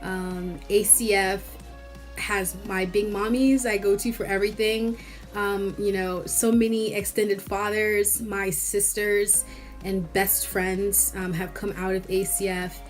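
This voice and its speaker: A young adult woman from Cameroon sharing a candid, warm personal testimonial about her fellowship. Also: medium pitch, little pitch movement, slow. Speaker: fellowship board member. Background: soft background music.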